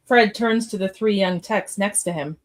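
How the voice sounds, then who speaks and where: A woman's voice: medium pitch, moderate pace, slight background noise. Female narrator, mid-range voice, reading scene directions for a live radio-style script read.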